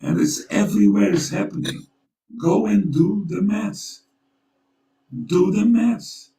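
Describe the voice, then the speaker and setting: A Dutch-accented man talks slowly in a gravelly, mellow voice. He is earnest and urgent, and circles back to repeat his points. He sounds set back from the mic in an echoey room. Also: high voice, very animated, recorded from a distance. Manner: urgent, storytelling, repeats himself. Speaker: co-host. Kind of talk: earnest conversational appeal.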